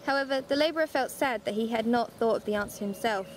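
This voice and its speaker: A woman's voice: a little high, fast, close to an everyday voice. A woman playing a friendly older relative who reads bedtime stories in an amateur drama. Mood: storytelling. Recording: roomy echo, faint background noise.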